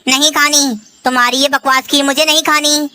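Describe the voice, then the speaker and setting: Casting: actor as male cartoon sparrow, drunken bully, domineering, rude, forceful delivery. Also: sits high, flat delivery, normal speed, low-quality recording. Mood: angry, defiant. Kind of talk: Hindi children's cartoon dialogue.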